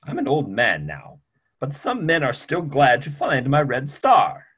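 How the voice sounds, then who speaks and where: A middle-aged actor playing a kindly, reflective old doctor in a stage play reading. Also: male voice, medium pitch, wildly swooping pitch, unhurried, fairly bright tone, breathy edge, heavily acted. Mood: gently reasoning. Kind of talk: stage play reading over video call. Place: small room, video call.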